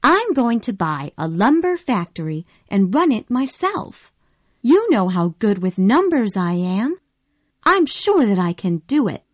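A woman voicing an impulsive, emotional young Southern woman in a storybook reading, speaking in a high pleading voice. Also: big pitch swings, unhurried, no echo. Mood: eager. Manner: pleading and tearful, high and bright.

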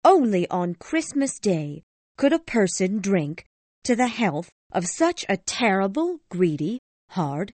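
A woman voicing a harried, sharp-tongued poor mother in a storybook reading, indignant and scornful. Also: mid-range voice, big pitch swings, slow, dry recording. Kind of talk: audiobook character voice.

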